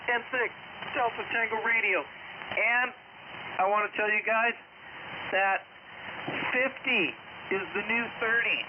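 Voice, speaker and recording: Middle-aged male ham operator, casual and breezy, clipped sentences, muffled radio with clear static. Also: high-pitched, steady tone, slow, long pauses. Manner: breezy, choppy short sentences. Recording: dull, noisy ham radio transmission.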